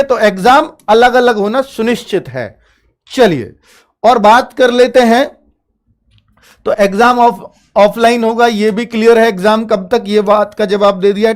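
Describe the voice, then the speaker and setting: A man talks like a coaching instructor addressing a class. He explains in an informal, instructive way, often asking a question and then answering it himself. Key points come back again for emphasis. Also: high-pitched. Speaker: coaching teacher. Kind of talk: informal explanatory talk to students.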